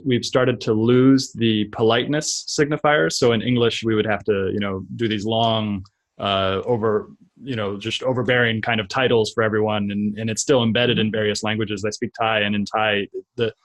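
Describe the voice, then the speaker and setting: A man with a fairly low voice hosts a casual interview. He talks thoughtfully and haltingly, building his questions as he goes. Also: steady tone. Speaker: podcast host, interviewer.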